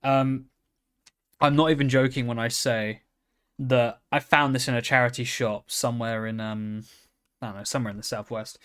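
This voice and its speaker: A man's voice: medium pitch, fairly even intonation, moderate pace, a bit bright, smooth. A man hosting his own video, chatting casually in a rambling, jokey and offhand way. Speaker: video host. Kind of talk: casual chat to camera.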